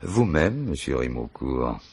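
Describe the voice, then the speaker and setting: An actor playing a dogged, unflappable police commissioner in a radio mystery, dry and gently ironic in his delivery. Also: extremely low pitch, sing-song, takes it slowly, creaky, breathy edge, heavily acted. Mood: firm, probing. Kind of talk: French radio mystery play acting.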